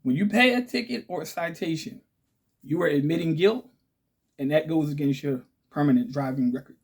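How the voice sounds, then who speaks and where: A man hosting his own channel in a casual, chatty way, with a raspy voice, often checking in with "okay?" and "right?". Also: on the high side, normal speed. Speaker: channel host. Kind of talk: casual direct-to-camera talk.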